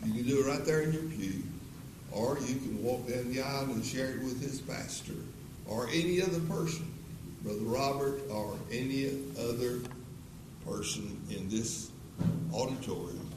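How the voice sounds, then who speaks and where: An elderly man in his eighties preaches slowly and earnestly, pressing the congregation with the same question again and again. His voice carries from a distance through a roomy auditorium, with a steady hiss of background noise. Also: mid-range voice. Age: 80s. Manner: slow, earnest, repeated pleading questions. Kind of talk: closing sermon with altar call. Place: church auditorium.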